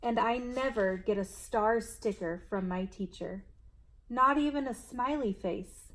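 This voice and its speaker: A woman hosting a children's story time, reading aloud expressively and deliberately for young listeners. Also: a little high, poor audio.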